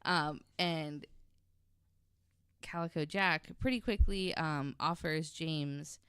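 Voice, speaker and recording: A woman's voice: low-pitched, slow pace, fairly bright tone, smooth, no room sound, clean studio sound. A woman podcast host telling a story in a casual, conversational way, with wry, informal asides.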